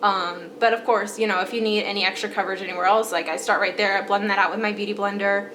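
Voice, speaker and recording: A woman's voice: mid-range voice, steady tone, quick pace, a bit bright, slight background noise. A young woman with a stuffy, congested voice chatting casually through her favorite makeup products, with lots of fillers and repeated praise. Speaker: beauty vlogger.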